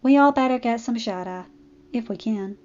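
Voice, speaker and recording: A woman's voice: on the high side, moderate pace, a little mellow, muffled recording, natural voice. Down-to-earth farm mare, easygoing and homespun; woman performer. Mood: gentle.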